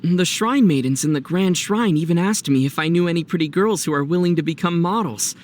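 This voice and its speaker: A man playing a youthful, thoughtful, gently teasing adventurer in video game voice acting, with a measured, wry delivery. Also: fairly high pitch, lightly acted. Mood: amused.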